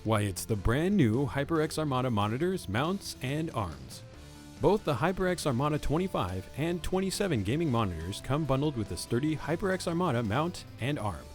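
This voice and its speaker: A man's voice: medium pitch, big pitch swings, normal speed, soft background music. A man reading a scripted advert in a grandiose, theatrical manner. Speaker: ad announcer.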